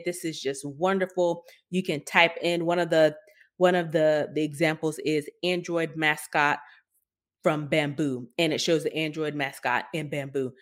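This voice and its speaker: Casting: female tech podcast co-host, lower register, candid and emphatic, conversational delivery. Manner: opinionated, emphatic, skeptical. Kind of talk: tech news commentary, conversational.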